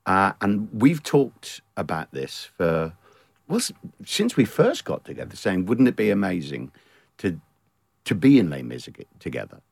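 A man with a low voice chats as a guest singer, quick and joking. The sound is dry and close. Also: huge pitch swings, creaky, darkish tone, breathy edge, no echo. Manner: jokey, quick, chatty. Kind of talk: casual interview chat.

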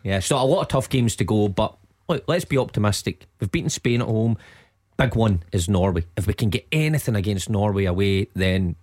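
A man's voice: on the low side, brisk, a little mellow, dry recording. A Scottish man giving football punditry on radio, upbeat and emphatic, on a close dry studio mic.

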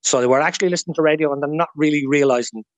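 A man's voice: a little high, rapid-fire, no echo. A man with an Irish accent talking as a guest historian in a radio interview, explaining things informally through anecdotes.

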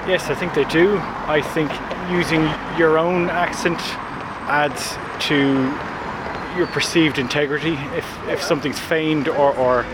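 Irish barrister, man, measured answers with restarts, car traffic behind. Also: fairly high pitch, fairly even intonation.

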